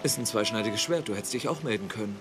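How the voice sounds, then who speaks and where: A man's voice: on the low side, fast talker, natural voice. An actor playing a blunt, loyal young man, a protective older brother, in dramatic dialogue. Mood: firm, sincere. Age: young adult. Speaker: actor in an audio drama or dubbed series. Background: loud music.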